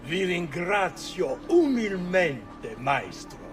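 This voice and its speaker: A man's voice: sits high, very animated, slow pace, heavily acted. An actor playing a deferential, cautious Venetian nobleman in a video game, speaking courteously and humbly. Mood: humble. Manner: courteous, obsequious. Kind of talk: video game cutscene acting. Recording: music under the voice.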